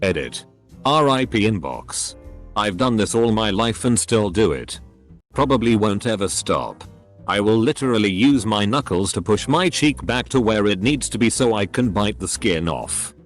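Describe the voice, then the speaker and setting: A man's voice: fairly low pitch, lively intonation, moderate pace, faint music behind. A synthetic male narrator reading online posts aloud, with stiff delivery that speaks punctuation marks as words.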